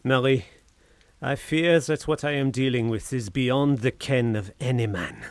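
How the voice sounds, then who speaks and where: A man's voice: mid-range voice, unhurried, dry recording, lightly acted. An actor playing a tormented, feverish Frenchman slipping into madness in an audio horror drama, with brooding, formal, theatrical diction. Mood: despairing, weary.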